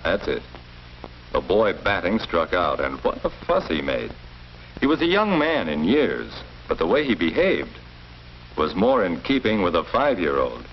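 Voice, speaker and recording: An actor playing a calm, firm middle-aged school principal in a 1940s educational film, speaking formally and in an avuncular, measured way. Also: male voice, low-pitched, exaggerated voice. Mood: calm, earnest. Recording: muffled, noisy old 1940s film soundtrack.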